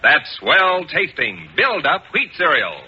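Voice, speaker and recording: A man reading a 1950s radio advertisement in an emphatic, deliberate salesman's manner. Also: a little high, very animated. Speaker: commercial announcer. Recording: dull, faintly hissy 1950s radio recording.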